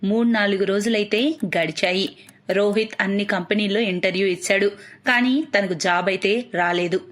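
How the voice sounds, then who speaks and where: A woman's voice: medium pitch, steady tone, fairly quick, fairly bright tone. A woman reading scripted narration for a cartoon moral story.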